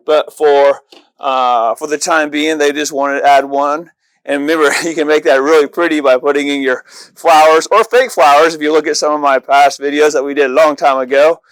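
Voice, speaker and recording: A man's voice: a little high, smooth, no echo, poor audio. An American man presenting an audio-video installation in a casual, informal walkthrough style, enthusiastic about the gear, speaking outdoors in a backyard.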